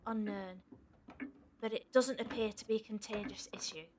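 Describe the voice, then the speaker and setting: A woman playing a coolly rational, sharp-tongued scientist with a precise, pedantic manner in an audio drama. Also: medium pitch, fairly even intonation, moderate pace, a little hiss or hum, natural voice. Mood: clinical.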